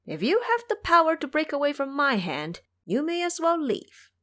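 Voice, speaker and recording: A woman voicing a cheeky, confident male monkey disciple in a brisk, playful way for an audio drama. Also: sits high, huge pitch swings, fairly bright tone, pitched above the performer's own voice, full character voice. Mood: teasing.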